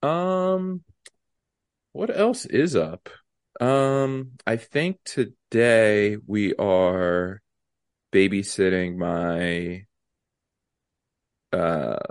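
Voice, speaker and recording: A young man talks in a slightly low voice clogged with a stuffy nose. He halts often, filling gaps with ums and restarting sentences. The sound is close and dry. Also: smooth, no echo. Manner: halting, many ums and restarts. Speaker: podcast co-host. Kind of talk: casual chat.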